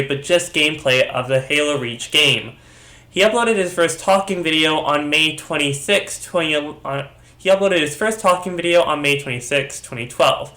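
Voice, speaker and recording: Slightly high-voiced man, sick, echoey room. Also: a bit bright. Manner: rambling, with frequent restarts and self-corrections. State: sick, under the weather. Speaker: YouTube commentator. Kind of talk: casual commentary video narration.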